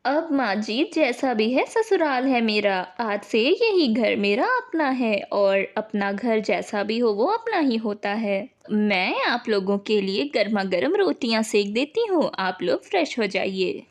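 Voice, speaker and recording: A sweet, dutiful young bride from a family storybook tale, played by a woman narrator. Her voice is high and very expressive, and she speaks unhurriedly in a gentle, reassuring way. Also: a little slow, hardly any pauses. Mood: dutiful, caring. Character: young new daughter-in-law, dutiful, sweet, consoling, optimistic.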